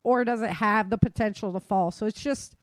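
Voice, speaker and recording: An American woman hosts a casual podcast in a very dry, close recording. Her voice sits at a middling pitch. She talks things through in an explanatory way, often tagging her points with a quick check-in. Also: no room sound. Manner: explanatory, often checks in with "right?" and "you know". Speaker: podcast host and author.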